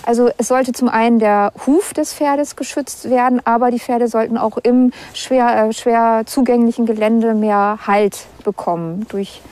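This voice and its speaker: A young female archaeologist speaks in an interview. Her voice is fairly high and very mellow, and she explains as she goes. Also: fairly even intonation, unhurried, hardly any pauses, no echo. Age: young adult. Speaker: district archaeologist being interviewed.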